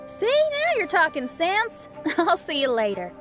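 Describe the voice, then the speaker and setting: A brash but kind young woman musician from a video game. Her voice sits high and moves around a lot. She talks casually and confidently, with music playing underneath. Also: huge pitch swings, unhurried, heavily acted. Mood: concerned, kind. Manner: colloquial, encouraging, self-assured.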